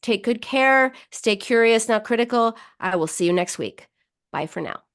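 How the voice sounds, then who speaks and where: A woman hosts a weekly psychology radio show, talking listeners through advice in an easy monologue. Her voice is slightly hoarse. She often pauses on fillers and restarts her sentences. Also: on the high side, expressive. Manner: warm advice-giving with frequent fillers and restarts.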